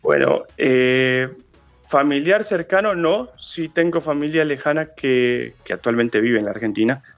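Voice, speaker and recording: A man's voice: mid-range voice, sing-song, unhurried, dry recording, dull audio, soft background music. A man in his thirties from Colombia, a guest calling in to a radio show, chatting casually with many fillers and restarts. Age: 30s. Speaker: phone-in guest.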